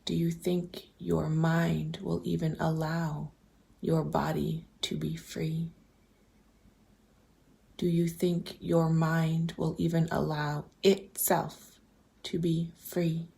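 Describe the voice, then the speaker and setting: A woman leading a guided visualization, speaking softly and breathily in gentle, deliberate questions that often slip toward a whisper. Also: low voice, little pitch movement, creaky. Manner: gentle, deliberate, posing open questions with pauses. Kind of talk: slow guided meditation, reflective questions.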